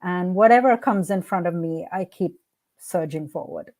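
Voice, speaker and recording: A woman's voice: fairly low pitch, animated, moderate pace, a little mellow. A woman whose native language is Hindi, giving reflective, earnest answers as a guest in a conversational interview. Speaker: podcast guest, art curator and founder.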